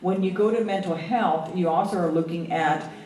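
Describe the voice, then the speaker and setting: A woman's voice: fairly low pitch, darkish tone, far from the mic. Woman with a slight Hawaiian accent, echoey hall. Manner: earnest, halting, many ums and restarts. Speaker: federal agency official on a panel. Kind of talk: panel remarks. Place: event hall at a symposium.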